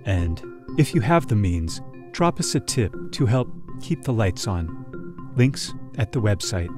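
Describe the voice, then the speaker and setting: A man's voice: fairly low pitch, sing-song, a little slow, music in the background. A man hosting a podcast, reading a scripted closing announcement. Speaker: podcast host. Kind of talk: scripted outro narration.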